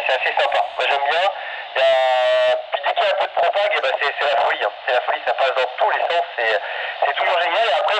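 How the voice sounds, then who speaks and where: A man's voice: on the high side, bright, forceful tone. A man chatting enthusiastically and casually as a radio operator, heard over a two-way radio. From France. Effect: two-way radio transmission. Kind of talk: casual radio contact chat. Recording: noisy walkie-talkie radio reception.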